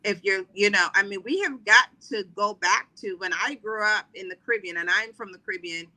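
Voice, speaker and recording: A middle-aged woman from the Caribbean talks as a podcast guest, rambling and chatty, full of fillers and restarts. Her voice is strikingly bright and forward. She sits at a middle pitch for a woman. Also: fairly quick, low-quality recording. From Trinidad and Barbados. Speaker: podcast guest, wellness coach. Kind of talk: casual interview talk.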